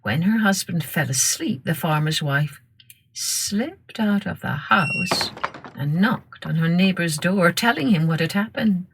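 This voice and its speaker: A woman narrating a scripted children's story in a measured, expressive storytelling manner. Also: lowish voice, a little hiss or hum. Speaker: storytelling podcast host.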